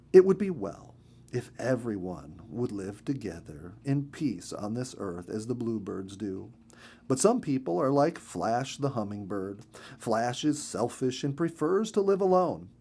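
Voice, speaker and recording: A man narrates a children's storybook. His delivery is gentle and expressive, with a mid-pitched voice that moves up and down in a very lively way.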